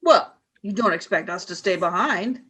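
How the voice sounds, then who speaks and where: A woman's voice: medium pitch, expressive, moderate pace, a bit bright, breathy edge. A woman playing a cold, commanding alien leader. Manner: stern, clipped orders. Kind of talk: amateur radio play.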